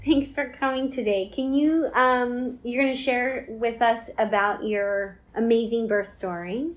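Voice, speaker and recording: Female interview host, voice a bit on the high side, affirming and conversational. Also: steady tone, a little slow. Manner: encouraging, affirming backchannels. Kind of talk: warm-up intro and conversational interviewing.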